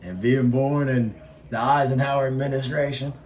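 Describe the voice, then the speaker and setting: A man's voice: medium pitch, steady tone, normal speed, a little mellow. A young man from Michigan co-hosting a casual vlog chat, laid-back and blunt, with slang and cursing. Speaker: vlog co-host.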